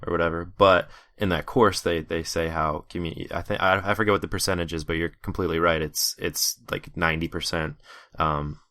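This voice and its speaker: A man hosting a podcast, talking in a monotone, relaxed and agreeable way. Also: sits low, a little fast, dry recording, clean studio sound. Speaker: podcast host. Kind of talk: podcast conversation.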